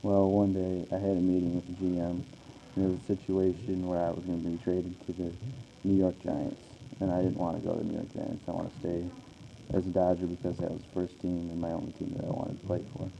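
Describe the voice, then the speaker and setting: A man's voice: low-pitched, monotone, a little fast, creaky, warm tone, a little hiss or hum, rough recording. A man playing a 1940s baseball star in a radio interview, speaking in a plain, earnest, recited way. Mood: earnest, matter-of-fact. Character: famous 1940s male baseball player being interviewed. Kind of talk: amateur scripted radio interview.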